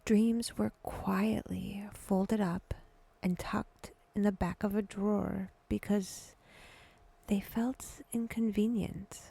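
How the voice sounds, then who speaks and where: A woman guiding a meditation in a hushed voice that drifts toward a whisper, speaking deliberately in short phrases with long pauses. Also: medium pitch, little pitch movement, creaky, husky. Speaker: guided meditation host. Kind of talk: slow, breathy guided meditation narration.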